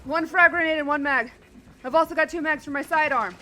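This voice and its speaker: A woman playing a tough, no-nonsense Marine sergeant in an audio drama, with brisk, barking military replies. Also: high voice, bright, forceful tone, slight background noise. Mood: stern.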